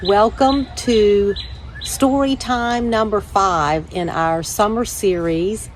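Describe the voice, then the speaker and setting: A woman hosting a children's story time, reading aloud with measured, deliberate phrasing. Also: mid-range voice, slight background noise, low-quality recording. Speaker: church children's ministry director, story time host.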